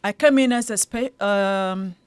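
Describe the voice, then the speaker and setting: A woman's voice: medium pitch, clean tone, no echo. A woman from Uganda with a Ugandan accent, a radio interview guest talking animatedly with frequent laughs. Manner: animated, laughs often, quick restarts. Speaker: guest, property investor. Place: radio studio.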